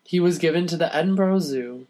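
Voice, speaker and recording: A man's voice: fairly high pitch, fairly quick, crowd noise. A man appearing as a podcast guest, reading aloud and chatting casually.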